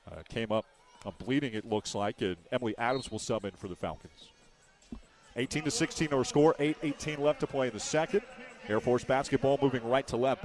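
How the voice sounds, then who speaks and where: A man doing live radio play-by-play of a basketball game in clipped, descriptive phrases. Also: medium pitch.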